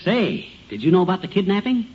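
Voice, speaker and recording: An actor playing a brash, excitable middle-aged husband in a 1950s American radio comedy, slangy and blustering. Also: male voice, high voice, quick pace. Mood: excited, blustering. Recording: dull, hissy 1950 radio recording.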